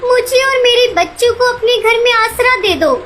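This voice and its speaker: Cartoon mother bird: extremely high pitch, earnest and pleading, poor but kind. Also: moderate pace, background noise. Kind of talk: children's cartoon story voice acting.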